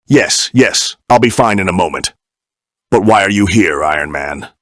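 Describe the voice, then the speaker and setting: Haughty warrior god for a game, male actor, lowish grave voice, formal, dry recording. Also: expressive, moderate pace, fairly bright tone, no room sound. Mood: weary. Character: proud, disdainful warrior god. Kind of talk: fan-made video game voice acting.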